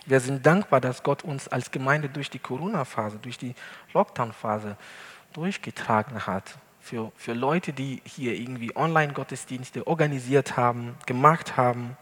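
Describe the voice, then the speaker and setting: A male pastor, not a native German speaker, preaching an earnest sermon in a church hall with deliberate emphasis. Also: mid-range voice, fairly bright tone, husky. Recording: long hall reverb.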